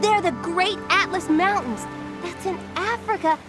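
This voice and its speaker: High-pitched plucky cartoon girl detective. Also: measured pace. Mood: determined. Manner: eager, bossy, brave. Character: plucky, confident young girl fairy-tale detective. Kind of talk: children's cartoon acting. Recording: loud music behind the voice.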